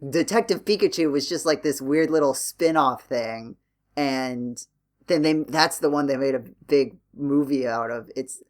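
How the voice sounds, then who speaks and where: Man, podcast host, mid-range pitch, casual conversational delivery, self-correcting explanations. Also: measured pace.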